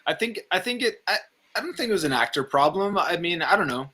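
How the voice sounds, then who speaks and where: A man's voice: high voice, big pitch swings, quick pace, pushed and bright. A man in a casual film discussion, speaking tentatively and circling around his point. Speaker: co-host of a film review show.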